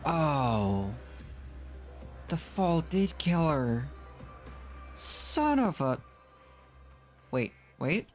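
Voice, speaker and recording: Streamer wanted: casual gameplay reactions, slow delivery, lively pitch swings, thinking out loud. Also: soft background music. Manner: musing aloud, reacting to the game. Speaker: game streamer. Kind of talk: casual live gameplay commentary.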